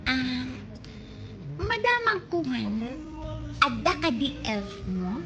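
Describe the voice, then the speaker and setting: Coy, high-voiced young Ilocano villager. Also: female voice, big pitch swings, takes it slowly, heavily acted. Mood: coy interest. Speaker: radio drama actor. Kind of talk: comedy radio drama acting. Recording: music bed behind the voice.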